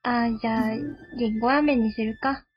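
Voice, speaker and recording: A woman's voice: on the high side, fairly even intonation, moderate pace, breathy edge, lo-fi sound, faint music behind. A woman playing an easygoing, agreeable young woman on a date in an amateur comedy radio drama.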